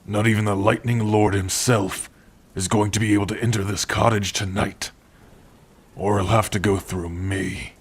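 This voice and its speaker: A man playing a gentle, protective orc blacksmith in an ASMR roleplay, speaking tenderly and without hurry to a beloved listener. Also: on the low side, level tone. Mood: warm, wry. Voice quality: husky. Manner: unhurried, tender, with soft sighs and hums. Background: rain.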